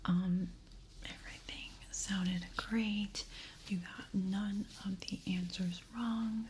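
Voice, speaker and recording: Whispering woman, gentle ASMR ear examiner. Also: mid-range voice, steady tone, a little hiss or hum. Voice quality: breathy, mostly whispered. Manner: gentle, reassuring, unhurried. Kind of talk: whispered ASMR medical roleplay.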